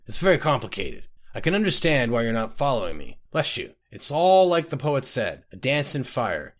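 A man's voice: medium pitch, lightly acted. A man voicing a tipsy male clerk who rambles boastfully, slipping on his words. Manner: boastful, rambling, slurring slips.

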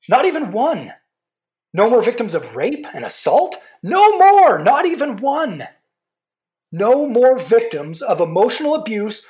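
Impassioned safety campaigner with wildly swinging pitch. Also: female voice, darkish tone. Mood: fervent. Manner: emphatic, repetitive, rhetorical. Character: impassioned political campaigner for safety, zealous and insistent. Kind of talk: fiction podcast drama, talk show debate.

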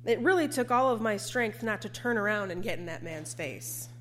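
A woman preacher delivering a scripted sermon in an earnest, measured way, often posing rhetorical questions. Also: mid-range voice, lively intonation, fairly bright tone, slight background noise.

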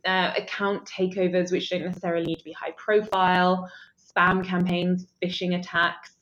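A woman's voice: fairly low pitch, little pitch movement, moderate pace, fairly bright tone, lo-fi sound. A woman analyst explaining a security story on a podcast, articulate with a dry sense of humor, thinking aloud. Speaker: threat intelligence analyst. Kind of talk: conversational analysis.

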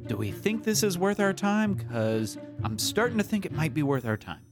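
A man playing a naive, excitable bunker dweller in an improvised roleplaying audio drama, casual and halting. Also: a little high. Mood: scheming, casual. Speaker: player in a roleplaying game. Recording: music bed under the voice.

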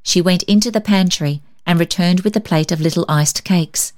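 A woman reading a story aloud as an expressive scripted narration. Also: fairly low pitch, normal speed. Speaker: story reader.

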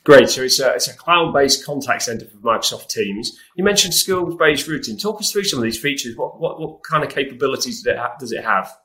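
Guy hosting an interview, bright punchy voice, normal pitch, asks questions in a chatty way. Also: animated, a little fast, hardly any pauses. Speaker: interviewer, host. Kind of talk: conversational product interview.

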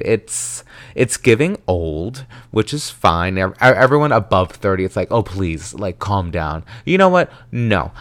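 Man, 30, from the American South, solo podcast host; low, smooth, dry-miked, chatty and dramatic. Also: no echo. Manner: chatty, animated, self-dramatizing with frequent tangents.

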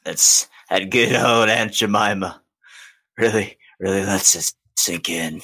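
A man's voice: fairly low pitch, takes it slowly, sharp tone. A man joking as a guest on a casual podcast, delivering absurd remarks offhandedly.

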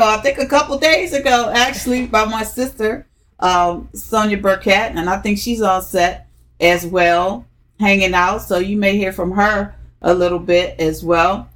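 A woman's voice: mid-range voice, fairly bright tone. A woman hosting a podcast, chatty and upbeat, interviewing guests with frequent fillers. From DMV area, US. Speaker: podcast host.

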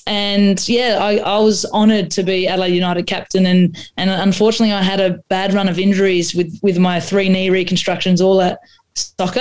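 An Australian woman whose first language was Croatian, giving long interview answers. Her voice stays flat and level at a middling pitch, and she often restarts her sentences and leans on fillers. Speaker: guest, AFLW footballer.

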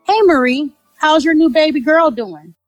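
An actress playing a sweetly sociable, scheming neighbour in an audio drama. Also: female voice, high voice, lively intonation, normal speed, no echo, soft background music. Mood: sweetly hospitable. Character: scheming, sugary-sweet pregnant woman neighbour and friend.

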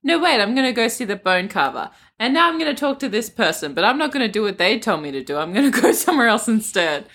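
A woman's voice: on the high side, big pitch swings, fast, hardly any pauses, a bit bright. A woman co-hosting a casual podcast book discussion, jokey and slangy, often acting out characters' lines. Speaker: podcast co-host.

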